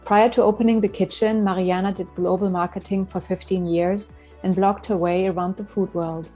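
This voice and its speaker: A woman's voice: medium pitch, steady tone, soft background music, poor audio. Woman podcast host. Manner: measured, reads guest intro, then soft affirming mm-hmms. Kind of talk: scripted introduction and interview questions.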